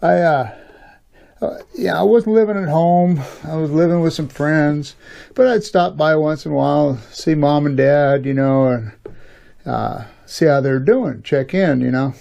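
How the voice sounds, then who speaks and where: Male, middle-aged, American, gravelly and creaky, slightly high-pitched; casual folksy storyteller with an informal, halting delivery. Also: dark tone. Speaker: YouTube storyteller and channel host. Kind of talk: casual rambling personal storytelling.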